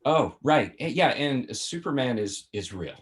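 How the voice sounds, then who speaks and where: Man acting sitcom father, older, flippant, nagging, conversational, animated pitch, video-call table read. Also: measured pace. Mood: musing, curious. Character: Lenny, nagging, flippant much-married father of grown son.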